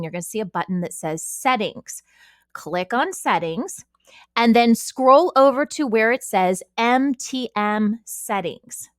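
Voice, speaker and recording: A woman giving an informal, explanatory software walkthrough, talking conversationally and often checking in with the listener. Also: fairly high pitch, dry recording, clean studio sound. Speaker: podcast network host giving a tutorial. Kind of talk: screen-share software walkthrough, informal instruction.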